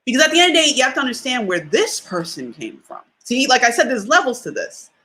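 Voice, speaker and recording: A woman with a deep voice delivers a motivational talk in a casual, conversational way. Her delivery is bright and forceful, and her pitch swings widely as she leans on key words. She often repeats a phrase for emphasis and checks in with her listeners. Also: very animated, quick pace, poor audio. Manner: conversational, often asks "you know what I mean?". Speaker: motivational speaker.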